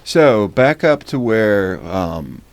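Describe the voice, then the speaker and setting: A man's voice: on the low side, sing-song, slow, creaky, no room sound. A man hosting a tourism podcast, asking questions in a casual, chatty way. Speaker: podcast host. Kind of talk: casual interview chat.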